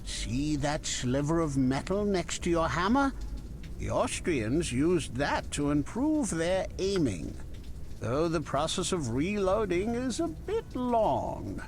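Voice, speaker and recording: A man voicing a chatty, obliging weapons merchant from the musket era in a video game, talking in unhurried salesman patter. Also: fairly high pitch, big pitch swings, a little hiss or hum, exaggerated voice. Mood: helpful, showy. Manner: unhurried, conversational salesman patter with dramatic pauses. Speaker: game shopkeeper character.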